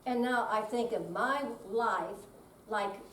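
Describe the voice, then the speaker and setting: A woman's voice: medium pitch, takes it slowly. An older woman reading aloud dramatically as an amateur readers theater performer in a senior center room. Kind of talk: slow dramatic reading on stage. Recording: distant, echoey room with faint noise.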